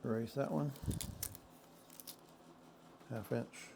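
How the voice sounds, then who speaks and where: A man's voice: mid-range voice, fairly even intonation, creaky. Guy muttering thru a diy job, stop-start, fixing his words, noisy clicky shop sound. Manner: thinks aloud, halting, self-correcting. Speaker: boat owner doing a DIY project. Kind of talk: casual DIY narration while working.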